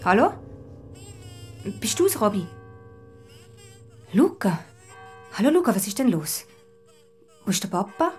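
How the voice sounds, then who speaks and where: A woman's voice: mid-range voice, very animated, measured pace, pauses often, darkish tone, soft background music. An actress in a radio play playing a determined woman violinist and mother, speaking German with a Swiss accent. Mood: soothing. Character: determined female orchestra violinist and mother confronting a killer.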